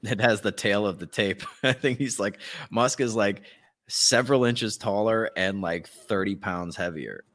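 Low-pitched man in casual podcast banter. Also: a little slow, fairly bright tone. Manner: informal, slangy, opinionated. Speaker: podcast co-host.

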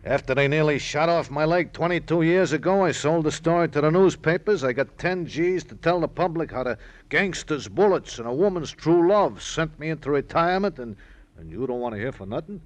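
An actor playing a proud, bitter, aging ex-gangster in an old radio crime drama, brooding in repeated fragments. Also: male voice, a little high, expressive, fairly quick, close to an everyday voice. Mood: mocking pride. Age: older.

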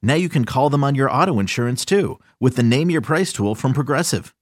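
A male announcer reads a scripted ad in an upbeat, salesy way. His smooth delivery sits at a mid pitch, recorded dry and close. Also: expressive, fairly quick, no echo. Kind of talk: scripted ad read.

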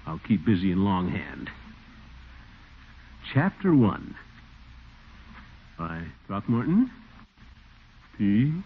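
A thin, noisy vintage radio recording carries a blustery middle-aged American bachelor. He is pompous and eager, his pitch leaping and diving dramatically in classic radio comedy style. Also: huge pitch swings, very slow, gravelly, pauses often, phone-quality sound, full character voice. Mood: self-satisfied musing. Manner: blustery, theatrical, with nervous chuckles. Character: pompous, excitable middle-aged small-town bachelor, vain but eager. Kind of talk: old-time American radio situation comedy acting.

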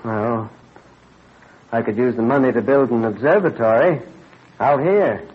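An actor playing an earnest, absorbed colonial astronomer husband in an old-time radio drama, explaining eagerly with mild hesitations. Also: male voice, mid-range voice, measured pace, breathy edge. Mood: earnest, gentle. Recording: dull vintage radio recording.

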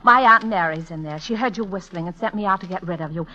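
A woman's voice: lowish voice, fast, sharp tone. An actress playing a flustered, proper small-town young woman who protests nervously in an old-time radio comedy. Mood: exasperated. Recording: dull vintage radio broadcast recording.

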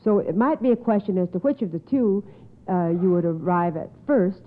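A woman's voice: on the low side, lively intonation, normal speed. A woman hosting a radio quiz in a precise, formal, encouraging manner. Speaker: quiz show host. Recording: tinny, narrow old radio broadcast.

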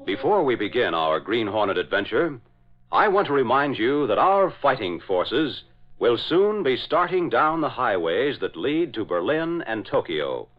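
A man's voice: mid-range voice, very animated, unhurried. A man announcing and narrating in the dramatic, declamatory style of a 1940s radio serial. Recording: dull vintage radio recording.

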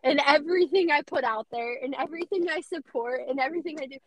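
A young woman with a high, squeaky voice, chatting casually and bubbly as a podcast guest. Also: fast, a bit bright, slight background noise. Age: young adult. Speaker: radio host guest.

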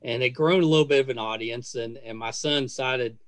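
A man's voice: medium pitch, a little fast, a bit bright, no echo. A middle-aged man hosting a podcast in a casual conversational interview style, effusive and full of praise. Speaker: podcast host.

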